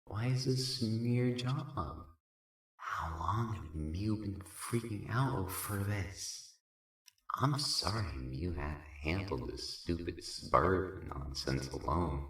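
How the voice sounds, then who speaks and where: Man playing a deep-voiced, slow, concerned friend. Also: lively intonation, a bit bright, heavily acted. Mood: concerned, chiding. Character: concerned, casual friend soothing and gently chiding. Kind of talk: fan fiction audio drama acting. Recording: echoey room, distant from mic.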